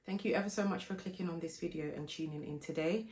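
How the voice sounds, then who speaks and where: A woman, hoarse from a flu, chatting politely as a vlogger telling a shopping story before an unboxing. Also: low-pitched, fast, a little mellow. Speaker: fashion and lifestyle vlogger. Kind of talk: casual unboxing vlog chat.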